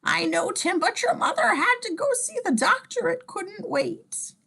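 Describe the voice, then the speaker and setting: A woman playing a gentle grandmother consoling a child in an amateur table read. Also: very high voice, lively intonation, a little slow, gravelly, voice pushed up, exaggerated voice.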